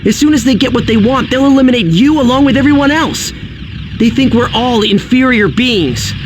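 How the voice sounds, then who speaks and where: A man's voice: fairly high pitch, expressive, moderate pace, background noise, lightly acted. An actor playing a confident, earnest teenage boy hero in a cartoon video game. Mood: defiant.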